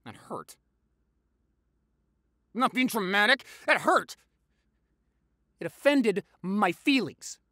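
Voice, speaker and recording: Guy acting as a chatty teasing boyfriend for audio rp, super dramatic, pitch all over the place, close dry sound. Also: very animated, slow pace, long pauses, sharp tone, dry recording, heavily acted. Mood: mock offended. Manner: theatrical, exaggerated, teasing, chatty. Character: gossipy, playful, affectionate young boyfriend. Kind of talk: boyfriend audio roleplay, acted monologue.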